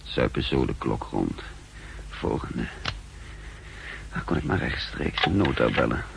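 A secretive hunted man, gravelly edge. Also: rumbling low, lively intonation, measured pace, heavily acted. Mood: weary muttering. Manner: insistent, guarded, giving clipped instructions. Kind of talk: 1960s Dutch radio drama acting. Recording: muffled old radio recording, faint hum.

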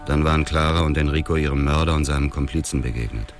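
Very deep-voiced, sombre widower. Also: male voice, fairly even intonation, quick pace, over music. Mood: sombre, numb. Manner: sombre, restrained, terse. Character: embittered, grieving middle-aged widower, a man emptied by loss. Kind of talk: scripted radio drama narration.

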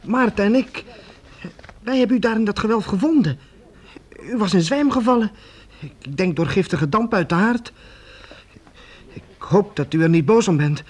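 An actor playing a respectful, anxious young apprentice in a Dutch radio play, hesitant and deferential. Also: male voice, high-pitched, lively intonation, moderate pace, long pauses, slightly rough. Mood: apologetic, hesitant. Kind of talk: Dutch radio drama acting.

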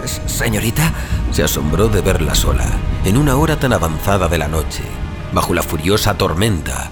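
A man narrating literary fiction for an audiobook in a measured, grave, theatrical manner. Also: deep voice, animated. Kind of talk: scripted dramatic literary narration. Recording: clear music bed behind narration.

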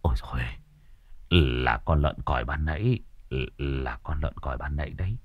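A man voicing a frightened village husband who stammers in a low, hushed voice. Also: moderate pace, no echo, phone-quality sound. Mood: relieved.

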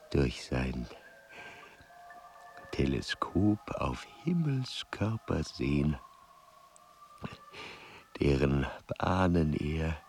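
An actor in a German radio play playing a frail old explorer, earnest and obsessed with facts, speaking haltingly and pedantically in a creaky voice. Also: male voice, very deep voice, darkish tone, heavily acted. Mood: musing.

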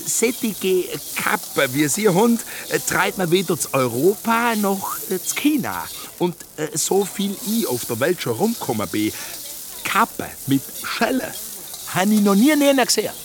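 Boastful cook hawking dishes, high and slow, singsong, broken German. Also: male voice, sing-song. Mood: hesitant. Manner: singsong sales patter, hesitant fillers. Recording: radio play with music behind.

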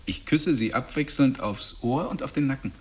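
A man's voice: medium pitch, expressive, normal speed, close to an everyday voice. An actor playing a smug, boastful husband who lectures his friend with condescension in a stage drama. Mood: smug, showing off. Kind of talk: German stage-play dialogue acting.

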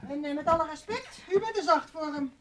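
High-pitched bossy housekeeper. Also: female voice, fairly quick, a bit bright, slight background noise. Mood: indignant. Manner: scolding, self-righteous, chatty. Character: bossy, indignant gossiping housekeeper, mother of three grown children. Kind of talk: Dutch radio play acting.

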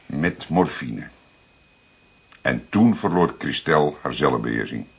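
An actor playing a seasoned older police detective in a Dutch radio drama, explaining things deliberately with dramatic pauses. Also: male voice, extremely low pitch, expressive, creaky, slightly rough, exaggerated voice. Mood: grave. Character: seasoned older male police detective, calm, plain-spoken storyteller.

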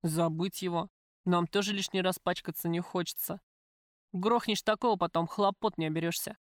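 A woman voicing a cool, cynical male gangster in an audiobook, with a flat, slangy, offhand manner. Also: on the low side, normal speed, no room sound, clean studio sound, natural voice. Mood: cynical, condescending.

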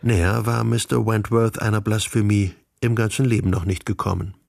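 Gravelly male radio drama narrator. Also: fairly low pitch, level tone, normal speed, darkish tone, no room sound, clean studio sound.

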